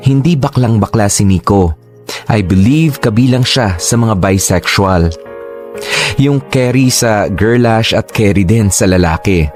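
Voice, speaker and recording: Male narrator, confiding, low voice, soft music behind. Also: measured pace. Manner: confiding, candid retelling. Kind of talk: scripted storytelling.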